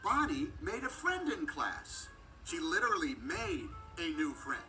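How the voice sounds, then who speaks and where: An earnest, anxious toy cowboy, played by a woman, speaking unhurriedly with huge swings of pitch. The delivery is emphatic and loyal, storybook style, with music clearly behind. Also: huge pitch swings, a little slow, pushed and bright, heavily acted, low-quality recording.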